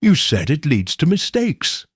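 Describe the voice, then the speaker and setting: A man's voice: on the high side, huge pitch swings, unhurried, slightly rough, no echo, clean studio sound, pitched above the performer's own voice, exaggerated voice. A man voicing an earnest, loyal companion who speaks in a pressing, earnest way.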